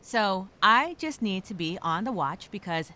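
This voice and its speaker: Woman acting a bright, anxious castaway, animated voice. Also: medium pitch, unhurried. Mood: anxious, self-reassuring. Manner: rambling, nervous, self-questioning, sarcastic asides. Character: anxious stranded woman castaway journaling, nervously self-reassuring, wry. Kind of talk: first-person dramatic monologue as spoken journal.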